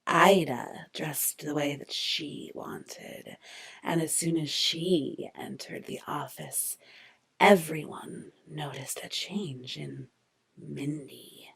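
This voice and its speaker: A woman narrating a scripted horror drama in a breathy, whispery, gravelly voice. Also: sits low, slow, a bit bright.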